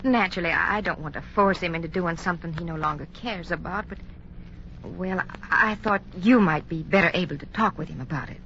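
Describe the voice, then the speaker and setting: A woman's voice: on the low side, brisk, natural voice. Actress as worried mother, muffled old radio. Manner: hesitant, earnest. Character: worried, gentle widowed mother pleading for her son. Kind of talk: 1950s radio drama acting. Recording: muffled old radio recording, faint noise.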